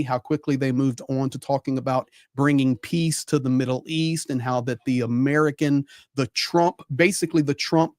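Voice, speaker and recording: A man's voice: mid-range voice, darkish tone, no echo, studio quality. A man giving conversational live political commentary as a panel guest, repeating phrases for emphasis.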